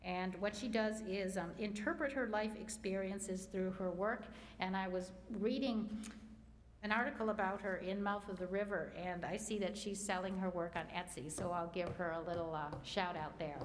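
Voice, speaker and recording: A woman's voice: medium pitch, fairly even intonation, normal speed. Lady hosting a community forum, loose chatty intro, bit of hiss in the background. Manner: chatty with fillers and restarts. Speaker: forum moderator introducing speakers.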